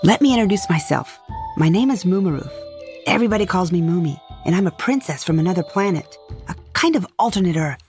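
A woman's voice: on the low side, expressive, quick pace, music in the background. Bold alien princess hero played by a woman. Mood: confident. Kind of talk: comedy sci-fi audio drama.

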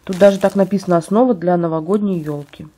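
Woman, hoarse from a cold, low-pitched, husky, dark tone, casual home vlog. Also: fast talker. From Russia. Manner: chatty, describing items as she handles them. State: ill, slightly hoarse. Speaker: vlogger. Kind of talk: casual show-and-tell vlog. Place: at home, indoors.

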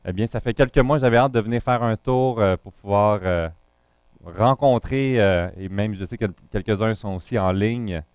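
A man's voice: lowish voice, normal speed, darkish tone, smooth, no echo, highs cut off. A man from Montreal with a Quebec French accent, introducing himself to a congregation as a guest pastor, talking loosely with many fillers and restarts.

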